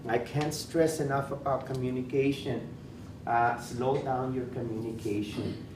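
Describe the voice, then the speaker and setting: A man's voice: medium pitch, steady tone, measured pace. A man with a Spanish accent, a school principal giving interview advice in an informal workshop, repeating words and restarting often. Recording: echoey room, far from mic, noisy.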